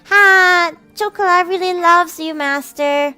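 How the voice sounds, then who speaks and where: Woman acting high girlish cat girl, bubbly, adoring, gushing, visual novel character, music behind. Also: slow pace, pushed and bright, heavily acted. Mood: gushing, loving. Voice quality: cutesy, girlish. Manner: gushing, speaks of herself by name.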